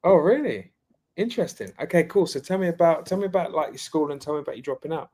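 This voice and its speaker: A man's voice: a little high, animated, fast, warm tone. A man interviewing a guest in casual chat, saying "okay, cool" often and repeating phrases.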